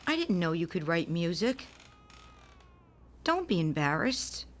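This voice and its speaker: An actress playing a tender, fragile young mother of a sick newborn in a radio drama, earnest and expressive. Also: on the low side, measured pace, slight background noise. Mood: tender, grateful.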